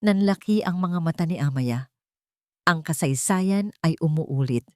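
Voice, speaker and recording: A woman narrates scripted drama in a low register. The sound is dry, with very little room around it. She delivers it as a storyteller reading fiction. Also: expressive, measured pace, mellow, no echo.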